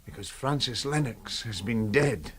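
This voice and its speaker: An actor playing a haunted, nervous man of faded talent in a radio mystery, with a breathy, husky voice and jittery, evasive delivery. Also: mid-range voice, a little slow, a crowd in the background, natural voice. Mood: haunted, defeated. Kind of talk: radio mystery drama acting.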